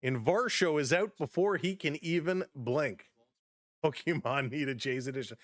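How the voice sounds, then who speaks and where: A chatty, upbeat man calling a baseball game live for his stream, breaking off to welcome viewers by name. His voice sits fairly high and has gone slightly hoarse from talking. The sound is dry and close, with no room echo. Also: moderate pace. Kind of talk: casual play-by-play with chat reactions.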